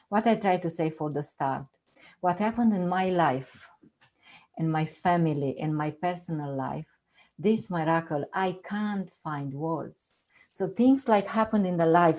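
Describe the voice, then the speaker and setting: Earnest Romanian-accented woman, soft dark voice. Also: on the low side. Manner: earnest, repeats phrases, halting non-native English. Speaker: guest. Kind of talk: personal testimony on a video call. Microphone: video call.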